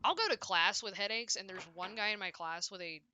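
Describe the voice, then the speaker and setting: Bright, forceful young woman streaming games. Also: mid-range voice, brisk, muffled recording, slight background noise. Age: young adult. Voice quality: loud voice. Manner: rambling, self-interrupting, frequent "like". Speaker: video game streamer. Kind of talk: casual livestream chat while playing.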